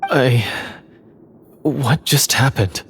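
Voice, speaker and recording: An actor playing a troubled young man, the son of a hunter, speaking hesitantly and haltingly in a game cutscene. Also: mid-range voice, a little hiss or hum. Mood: confused. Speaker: game character. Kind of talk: video game dialogue acting.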